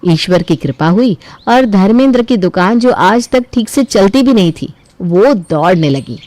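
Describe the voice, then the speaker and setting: A woman's voice: medium pitch, expressive, unhurried, a little mellow, dry recording. A woman narrating a scripted family story as its storyteller.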